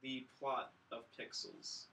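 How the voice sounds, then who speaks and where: A man's voice: mid-range voice, little pitch movement, slow pace, pushed and bright, slight background noise. A man on a film review podcast, recapping casually with a sarcastic, mock-grandiose comic delivery. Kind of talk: casual comedic plot recap.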